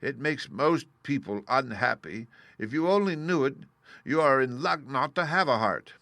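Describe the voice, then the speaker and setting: A man's voice: medium pitch, lively intonation, normal speed, creaky, bright, forceful tone, no echo, voice pushed up. Man reading as a meek humbug wizard. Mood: confiding.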